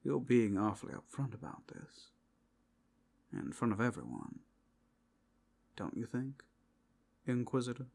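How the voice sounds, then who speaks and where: A man's voice: medium pitch, sing-song, unhurried, gravelly, pauses often, darkish tone, full character voice. A man voicing a guarded, protective werewolf in an ASMR fantasy roleplay, speaking bluntly and warily. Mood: wary, objecting.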